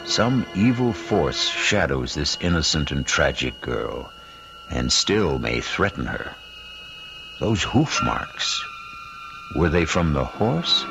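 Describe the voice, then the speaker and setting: An actor as the brooding host of a radio mystery, narrating in a measured, ominous, theatrical manner. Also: male voice, very deep voice, very animated, creaky, music in the background, heavily acted. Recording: dull old radio recording.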